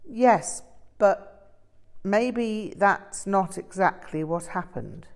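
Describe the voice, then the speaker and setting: Eager, argumentative male student, respectful and emphatic, unhurried read by a woman. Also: mid-range voice, creaky, darkish tone, pitched above the performer's own voice. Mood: earnest. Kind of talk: audiobook character dialogue.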